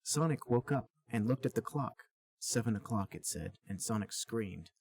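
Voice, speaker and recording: A man's voice: lowish voice, animated, normal speed, a little mellow, breathy edge, no room sound. A man co-hosting a comedy podcast, chatting casually and reading aloud in a dry, understated way. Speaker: podcast co-host and narrator.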